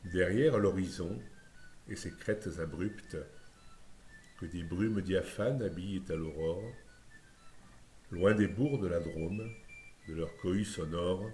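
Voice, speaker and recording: A man reciting a scripted poem in a lyrical, measured way, phrase by phrase. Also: sits low, warm tone, slight background noise. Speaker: poetry reciter. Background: music.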